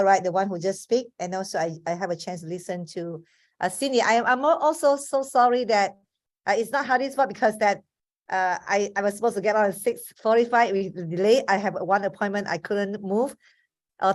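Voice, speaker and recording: A woman's voice: medium pitch, darkish tone. A woman in her fifties from Malaysia, a native Chinese speaker with a Malaysian accent, giving an earnest motivational talk on a video call with frequent restarts. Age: 50s. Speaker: webinar speaker.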